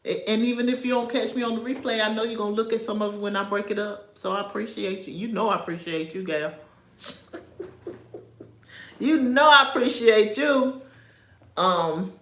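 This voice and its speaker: A woman from New York, raised in North Carolina, chats her way through a livestream commentary in a voice of ordinary middle pitch. She wanders off on side tracks and chuckles as she goes. The sound bounces around a roomy, echoey space. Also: moderate pace, long pauses, fairly bright tone. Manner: chatty, drifts off topic, chuckles often. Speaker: social media commentator.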